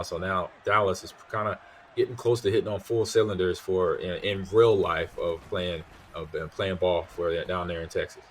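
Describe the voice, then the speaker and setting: A middle-aged man giving steady, somewhat rambling analysis as color commentator on a football video game tournament. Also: sits low. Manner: steady, explanatory, a bit rambling. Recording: faint background noise.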